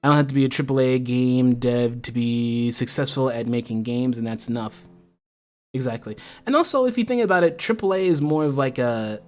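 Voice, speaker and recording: A man's voice: mid-range voice, a little mellow. An American man hosting a casual livestream chat, talking in an impassioned, slangy way and swearing freely. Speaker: livestream host.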